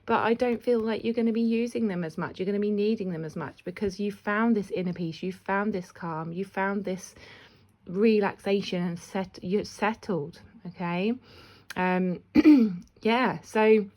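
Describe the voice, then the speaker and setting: Chatty woman with a croaky voice. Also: mid-range voice, unhurried. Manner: chatty, warm asides, often laughs at herself. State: slightly croaky voice. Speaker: intuitive card reader. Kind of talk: casual spiritual card reading.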